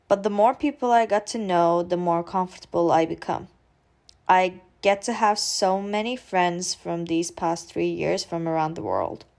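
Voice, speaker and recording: A woman in her twenties from Turkey with a Turkish accent, narrating a personal vlog in an earnest, careful way with some hesitant fillers. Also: fairly low pitch. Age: 20s. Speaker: student vlogger.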